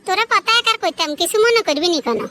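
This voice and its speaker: A woman's voice: very high voice, animated, quick pace, bright, forceful tone, full character voice. A woman voicing a boastful, sly cartoon sister-in-law with a Noakhali Bangladeshi accent, gossiping and goading. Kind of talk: comedy cartoon dialogue.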